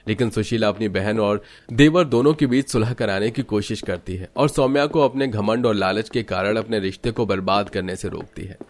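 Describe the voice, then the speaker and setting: A man's voice: on the low side, moderate pace, hardly any pauses. A man giving scripted, melodramatic narration for a cartoon family story.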